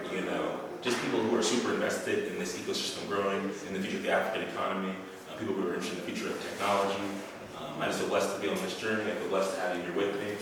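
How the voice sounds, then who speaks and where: A man hosting a podcast interview, talking loosely and thoughtfully with many fillers, recorded at a hackathon venue. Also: low voice. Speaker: podcast host. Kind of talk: casual interview chat. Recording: echoey hall from far off, noisy.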